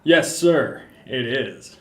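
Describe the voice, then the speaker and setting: A man's voice: mid-range voice, animated, drawn-out words, fairly bright tone. Young man acting an earnest detective, roomy echo. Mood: eager, flustered. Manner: earnest, talks to himself in noir monologue. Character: eager, self-pitying male small-town police detective. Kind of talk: amateur detective radio drama acting. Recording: roomy echo, music behind.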